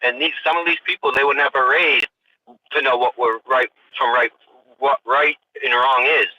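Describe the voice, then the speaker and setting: Bright, forceful American man, tinny distant sound. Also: medium pitch, fairly even intonation, normal speed. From United States. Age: 40s. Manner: emphatic, repetitive, swearing. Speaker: livestream host. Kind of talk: casual opinionated livestream chat. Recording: tinny radio-like sound, distant mic, faint noise.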